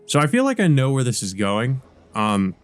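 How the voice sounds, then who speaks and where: A man's voice: mid-range voice, wildly swooping pitch, normal speed, faint music behind. A man hosting a let's play, reading a visual novel aloud with casual asides. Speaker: let's play host and reader.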